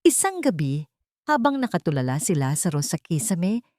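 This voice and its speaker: A woman's voice: fairly low pitch, sing-song, moderate pace, dark tone, dry recording, studio quality. A woman with a Filipino accent narrating a scripted love story in a dramatic storytelling style.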